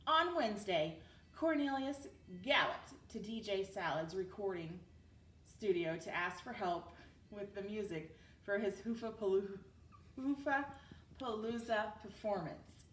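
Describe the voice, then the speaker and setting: A woman narrates a children's story in an unhurried, animated way. She sounds a little distant in a roomy space. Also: medium pitch. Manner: expressive read-aloud delivery. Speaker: teacher reading a storybook aloud. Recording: roomy, distant mic with background noise.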